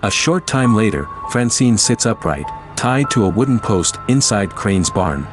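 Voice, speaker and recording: A man's voice: lowish voice, animated, unhurried, music in the background. A man narrating an action audio drama from a script.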